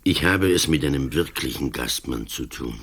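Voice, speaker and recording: Very deep-voiced old police commissioner. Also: male voice, animated, a bit bright, slightly rough, exaggerated voice. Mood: sober, insistent. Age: older. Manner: dry, sardonic, deliberate. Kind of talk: radio play acting.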